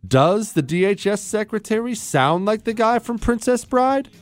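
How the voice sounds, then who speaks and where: Sarcastic talk radio host, dry voice. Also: male voice, high voice, animated, normal speed, clear, resonant tone, no echo. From Ohio, USA. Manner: sarcastic, joking, mocking. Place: radio studio.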